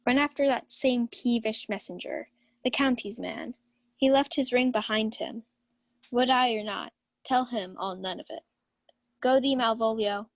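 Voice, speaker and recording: Young woman as proud noble lady, fairly high. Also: measured pace, no echo. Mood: smitten. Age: young adult. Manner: commanding, theatrical. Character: Olivia, proud, imperious young countess. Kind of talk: 1940s radio Shakespeare acting.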